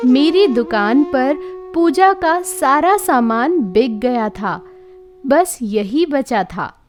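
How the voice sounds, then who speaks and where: Storybook bit part: plain-spoken, kindly shopkeeper read by a woman, high and mostly unhurried. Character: generous neighbour shopkeeper, a village man.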